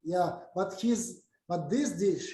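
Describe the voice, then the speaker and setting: A man whose first language is Russian gives a halting academic lecture in English, with many fillers and restarts. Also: sits high, gravelly, dark tone, roomy sound. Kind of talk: academic talk with slides.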